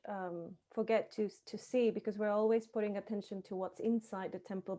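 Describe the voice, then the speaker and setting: A woman's voice: medium pitch, mellow. A woman interpreting an interviewer's questions into English, relaying them plainly with small restarts. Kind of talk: consecutive interpreting.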